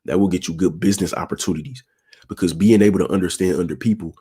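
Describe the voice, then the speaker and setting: A young man hosting his channel, giving casual advice to viewers in a laid-back way, with a low, monotone, raspy voice. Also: fast. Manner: laid-back, peppered with "you feel me", talks with his hands. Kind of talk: casual advice talk answering viewer questions.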